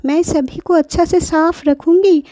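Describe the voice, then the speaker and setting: A tearful old grandmother begs her family in a high, strained voice, played by a woman storyteller. She sounds helpless and imploring, with a mellow, dark tone. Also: fairly even intonation, measured pace, no room sound. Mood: pleading. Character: elderly grandmother, pleading and tearful.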